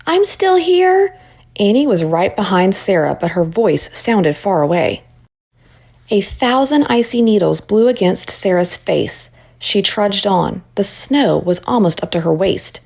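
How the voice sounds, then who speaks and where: A woman reads a storybook aloud for children. Her voice sits at a middle pitch with noticeable creak in it, and she narrates expressively. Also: animated, unhurried, darkish tone.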